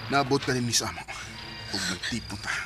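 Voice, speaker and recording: Actor as brooding young man, mid-pitched voice, Cebuano Filipino accent, intense radio drama delivery, clear background music. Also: fairly quick, close to an everyday voice. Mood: insistent. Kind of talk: Bisaya radio drama acting.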